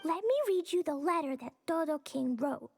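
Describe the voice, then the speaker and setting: A woman voicing a naive, earnest, stubborn little girl in a video game, with a cutesy childlike voice and an innocent, halting way of talking. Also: high voice, darkish tone, faint music behind. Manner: innocent, halting, speaks of self in third person.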